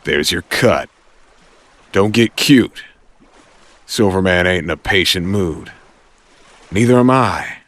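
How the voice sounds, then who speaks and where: A man's voice: low-pitched, expressive, slow pace, pauses often, fairly bright tone, no room sound, exaggerated voice. A man playing a tough, impatient mob enforcer in an audio drama, curt and threatening. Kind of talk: audio drama villain acting.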